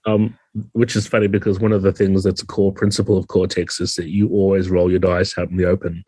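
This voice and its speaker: A man from New Zealand speaks with his local accent in a low, fairly monotone voice. The sound is husky and closely dry, and he explains things conversationally, as a game designer guesting on a podcast. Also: a little fast, dry recording. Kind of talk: casual podcast interview.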